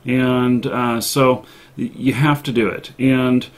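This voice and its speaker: A man hosting a live stream, giving casual advice in a halting, deliberate way, often stretching out filler sounds as he searches for the next phrase. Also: mid-range voice, fairly even intonation. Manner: halting, deliberate delivery with frequent long pauses and filler sounds.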